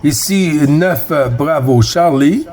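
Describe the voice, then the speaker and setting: Higher-pitched Québécois man on CB radio. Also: takes it slowly, darkish tone. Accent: Québécois French. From Quebec, Canada. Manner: friendly, addresses others as friend. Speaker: amateur CB radio operator. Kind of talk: CB radio contact, casual exchange with callsigns.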